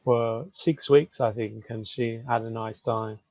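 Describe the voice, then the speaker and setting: A man from England with a Birmingham accent and a deep voice, chatting casually as a conversation tutor. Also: fairly even intonation, measured pace, no room sound. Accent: Birmingham English. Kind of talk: casual chat. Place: online video call. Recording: dull lo-fi call audio.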